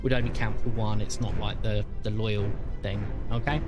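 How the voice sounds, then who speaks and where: A man's voice: fairly low pitch, flat delivery, normal speed. Man running a tabletop game over music. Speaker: game master. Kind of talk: running a tabletop role-playing game, casual narration. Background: music under the voice.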